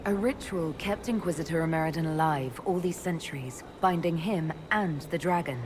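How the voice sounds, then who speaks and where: Low-voiced, assured leader , played by a woman. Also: background noise, close to an everyday voice. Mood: calm, firm. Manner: measured, matter-of-fact. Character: calm, assured female leader reporting a discovery. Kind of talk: video game dialogue.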